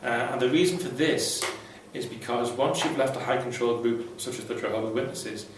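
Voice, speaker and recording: A man's voice: mid-range voice, steady tone, normal speed. A man giving casual, unscripted advice to viewers in a blunt, irreverent, sweary way. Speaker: vlogger, ex-Jehovah's Witness advice host. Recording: very echoey room, distant mic, faint noise.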